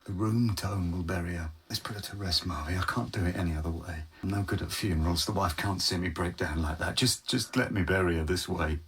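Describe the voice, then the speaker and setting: An actor playing an obsessive male scientist in grief, talking in a desperate, hushed way in a radio drama. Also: low-pitched, brisk, few pauses, breathy edge, exaggerated voice. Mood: grief-stricken.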